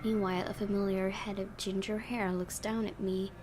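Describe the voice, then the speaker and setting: A woman's voice: medium pitch, level tone, moderate pace. A woman hosting a gaming video, reading a visual novel aloud and adding casual commentary. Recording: game music behind the voice.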